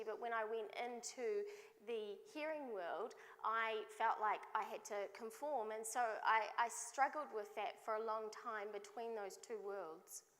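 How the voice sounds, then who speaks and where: A woman gives live voice to a signed festival talk, her pitch sitting a little high. She speaks in measured phrases, often backing up to restart or correct herself. The sound carries a long hall reverb. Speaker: sign language interpreter voicing a deaf speaker.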